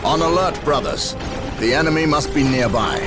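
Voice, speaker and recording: A man's voice: fairly high pitch, lively intonation, moderate pace, close to an everyday voice. A man playing a stern, commanding space marine leader in a game cutscene, formal and declamatory. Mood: solemn, zealous. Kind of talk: video game cutscene acting. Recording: loud game music behind voice.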